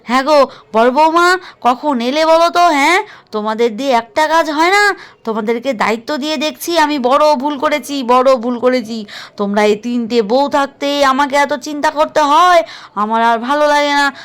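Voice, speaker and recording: A woman's voice: high-pitched, moderate pace, a bit bright. Woman doing a nagging old grandmother. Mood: scolding. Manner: nagging, exasperated. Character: scolding old grandmother, sharp-tongued and fussy.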